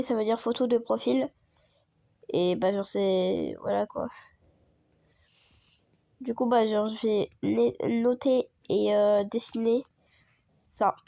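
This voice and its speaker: A woman chatting casually over gameplay as a video creator, hoarse from a cold and rambling with lots of fillers. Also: on the high side, warm tone, dry recording, studio quality. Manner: rambling, full of fillers and restarts.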